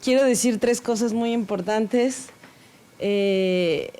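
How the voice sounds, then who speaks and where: A woman speaking earnestly at an academic conference panel, halting and full of fillers, openly nervous. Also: a little high, warm tone. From Mexico. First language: Spanish.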